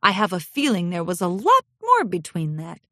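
A woman reading aloud voices an excited stallion pony who gushes with praise for his wife. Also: lowish voice, normal speed, dry recording, studio quality, exaggerated voice. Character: excited stallion pony guard captain, devoted husband.

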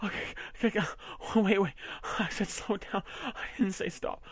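A man voicing a domineering, possessive husband in an amateur audio roleplay, coarse and swearing, often dropping to a breathy whisper. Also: high-pitched, fairly even intonation, a little fast, dry recording. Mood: panicked.

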